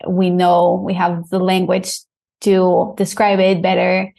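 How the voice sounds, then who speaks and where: Gravelly-voiced woman sexologist from Costa Rica. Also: on the low side, monotone, takes it slowly, a little mellow. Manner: upbeat, laughs easily, explains plainly. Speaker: sexologist guest. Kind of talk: casual interview talk.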